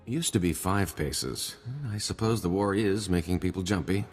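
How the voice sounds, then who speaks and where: An actor playing a dry, knowing onlooker in a video game, wry and understated. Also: male voice, sits low, moderate pace, faint music behind. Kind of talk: scripted video game voice acting.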